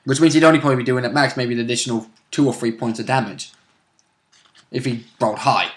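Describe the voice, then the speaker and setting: A man's voice: medium pitch, fairly even intonation, quick pace, slight background noise. A man with a mid-range voice acts as co-host on a tabletop gaming show. He chats in a casual, informal way, reacting as the commentary goes along. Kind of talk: casual chat.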